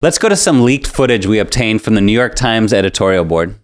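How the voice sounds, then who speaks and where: A man's voice: lowish voice, animated, a little fast, noisy background. A man co-hosting a political show, delivering scripted commentary in an emphatic, polemical manner.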